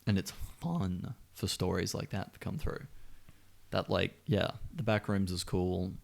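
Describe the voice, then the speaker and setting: A man's voice: low voice, fairly even intonation, measured pace, vocal fry, a little mellow. A man co-hosting a podcast in casual chat, with a sweary, wry and joking manner. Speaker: podcast co-host.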